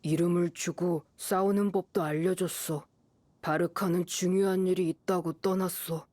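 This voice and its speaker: A woman voicing a quiet, earnest feral wolf boy in an anime game, speaking in short, blunt, halting sentences. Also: lowish voice, fairly even intonation, dry recording, lightly acted. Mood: wistful, resolved.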